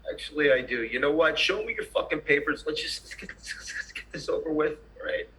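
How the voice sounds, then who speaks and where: A man's voice: fairly high pitch, expressive, a little fast, bright, forceful tone, slight background noise, poor audio. A man playing a cynical, hard-drinking tax auditor in a screenplay table read, dry and sarcastic. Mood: irritated. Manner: dry, sarcastic, gruffly blunt.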